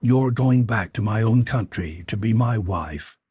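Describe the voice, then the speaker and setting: Narrator as earnest, husky adventure hero, a man. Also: fairly low pitch, a little slow, dry recording, clean studio sound. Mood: tender, resolved. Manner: earnest, gentle. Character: steadfast, tender warrior man, adventure hero. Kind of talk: audiobook character voice.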